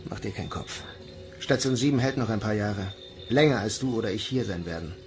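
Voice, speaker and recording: A man's voice: on the low side, lively intonation, fairly quick, over music, natural voice. A man playing a world-weary, guarded but protective Mars mission commander in a German audio drama.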